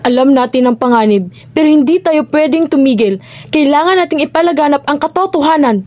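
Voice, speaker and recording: A woman's voice: sits high, animated, a little fast, a little mellow. A woman playing an anxious, determined underground activist, earnest and urgent, in an amateur radio drama. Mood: worried, determined. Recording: faint noise, ambience behind the voice.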